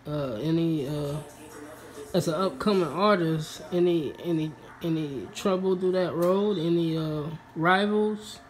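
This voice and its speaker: A man interviewing a guest, asking questions haltingly with many fillers. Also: fairly high pitch, a little hiss or hum. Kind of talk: casual interview.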